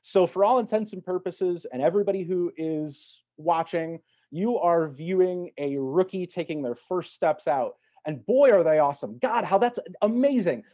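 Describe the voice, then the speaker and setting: A man's voice: a little high, warm tone. A man in reflective, casual conversation as a guest, feeling his way through thoughts with repeated words. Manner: halting, repeats words while thinking. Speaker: podcast guest. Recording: dull, lo-fi audio.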